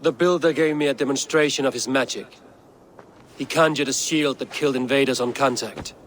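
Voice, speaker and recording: A man's voice: medium pitch, a little fast, slight background noise, close to an everyday voice. Man playing a stern, commanding king of gods. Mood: grave, troubled. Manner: grave, authoritative. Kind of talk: dramatic video game acting.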